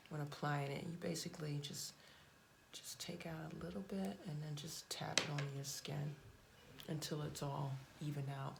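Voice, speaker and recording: A woman chatting casually through a makeup tutorial at home, blunt and chatty, her voice hoarse and cracking. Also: deep voice, background noise. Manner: chatty, blunt, frequent fillers and restarts. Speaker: beauty vlogger.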